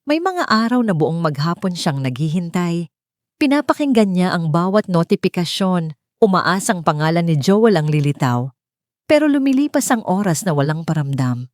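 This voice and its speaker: A woman's voice: lowish voice, big pitch swings, moderate pace, darkish tone, no echo, studio quality. A woman narrating a scripted dramatic story.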